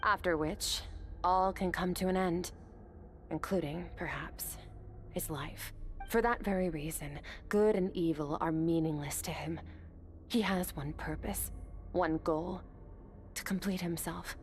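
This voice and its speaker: An actress playing a composed, resolute swordswoman in a game cutscene, speaking calmly and firmly. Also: lowish voice, faint music behind, close to an everyday voice. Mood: thoughtful. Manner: calm, measured, firm.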